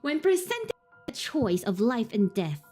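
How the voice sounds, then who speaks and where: Woman, game streamer, giggly, swears when startled, unhurried, very animated pitch. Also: soft background music. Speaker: streamer playing a horror game. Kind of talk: live game commentary and reading on-screen text aloud.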